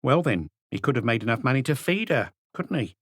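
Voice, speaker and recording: A man's voice: medium pitch, very animated, a little fast, fairly bright tone, no room sound, clean studio sound, voice pushed up. A man voicing a plump, gossipy middle-aged woman who runs a café, in an audiobook reading. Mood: indignant. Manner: chattering, scornful, indignant.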